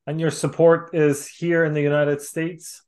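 A man conducting a casual interview, halting as he searches for his words. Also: fairly high pitch, level tone, a little mellow.

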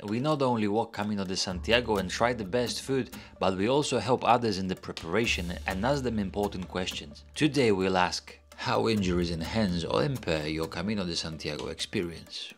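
A man's voice: on the low side, a little fast, few pauses. A man hosting the channel, delivering an upbeat, scripted introduction. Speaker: channel host.

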